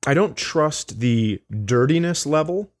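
A man's voice: on the high side, animated, a little slow. A man co-hosting a podcast in casual comedic banter, teasing, rambling off on tangents and swearing. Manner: profane, teasing, digressive. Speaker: podcast co-host.

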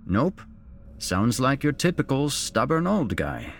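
A man's voice: mid-range voice, wildly swooping pitch, takes it slowly, vocal fry, pitched above the performer's own voice, full character voice. A man playing a jovial, joking policeman. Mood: matter-of-fact. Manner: joking, muttering, blasé. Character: jovial teasing male police officer on night call. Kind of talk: audiobook character dialogue.

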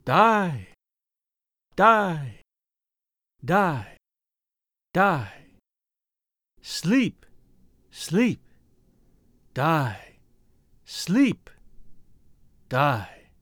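A man's voice: sits high, sing-song, very slow, a bit bright, dry recording, studio quality, exaggerated voice. A man voicing a chorus of eerie, possessive, gloating spirits in an audiobook, chanting in sing-song repetition. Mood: eerie, luring.

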